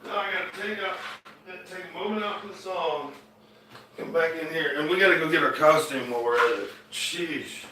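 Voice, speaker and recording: A man's voice: on the high side, a little fast, sharp tone, far from the mic, slight background noise. A man with a light Southern accent, chatting loosely to viewers during his own music and painting show, trailing off and restarting as he talks. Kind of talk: rambling casual chat to viewers. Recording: echoey room, voice a bit off-mic, guitar music.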